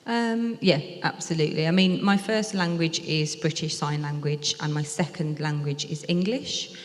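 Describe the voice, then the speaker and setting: Woman, sign language interpreter, low voice, measured, explanatory, long hall reverb. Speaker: sign language interpreter voicing a deaf speaker. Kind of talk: interpreting a design talk aloud. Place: lecture hall at a museum event.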